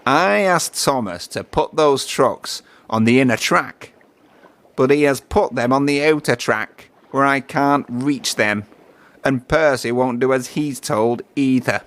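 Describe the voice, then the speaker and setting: Grumpy dockside crane, put on by a man, male, self-important, mid pitch, children's storybook character. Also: a little slow, fairly bright tone. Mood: complaining.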